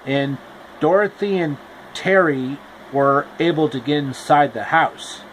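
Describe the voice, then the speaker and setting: A man's voice: on the high side, measured pace, a little hiss or hum, poor audio. A man retelling a fairy tale in a loose, rambling casual narration with restarts. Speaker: storyteller. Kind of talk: casual improvised story retelling.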